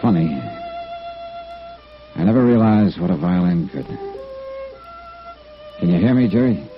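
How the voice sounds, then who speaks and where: An actor playing a blunt, wry, hard-boiled insurance investigator in a vintage radio noir drama. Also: male voice, deep voice, expressive, measured pace, soft background music. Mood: musing. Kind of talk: 1950s radio noir acting. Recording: dull vintage radio recording.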